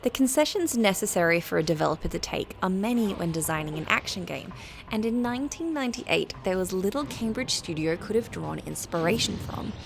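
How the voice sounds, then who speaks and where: A British woman reading a scripted review as narration, in a measured, articulate and formal way. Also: mid-range voice, animated, vocal fry, noisy background.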